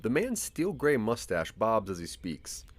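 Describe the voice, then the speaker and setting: A man's voice: fairly low pitch, wildly swooping pitch, measured pace. A man narrating a scripted audio drama in the second person, with a dramatic storytelling manner.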